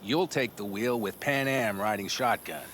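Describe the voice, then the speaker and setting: A man's voice: on the low side, normal speed, fairly bright tone, a little hiss or hum, close to an everyday voice. A man playing a proud, eager nomad mechanic in a video game, with a showy, folksy manner.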